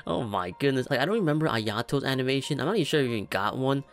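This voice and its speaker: A man's voice: mid-range voice, lively intonation, very fast. A man giving informal, conversational opinion commentary as the host of a gaming video.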